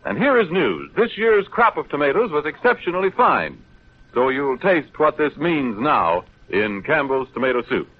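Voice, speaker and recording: Old time radio announcer guy, polished pitch, swoopy delivery, tinny narrow sound. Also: big pitch swings. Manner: polished, measured salesmanship. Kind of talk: scripted 1930s radio sales talk. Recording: thin, narrow-band 1930s radio broadcast.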